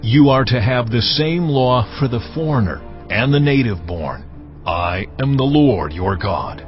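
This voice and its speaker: A man playing God in a dramatized audio Bible, giving laws in a solemn, measured, commanding manner. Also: mid-range voice, animated, natural voice. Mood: stern, grave. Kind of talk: dramatized scripture reading. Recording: dull lo-fi audio, music underneath.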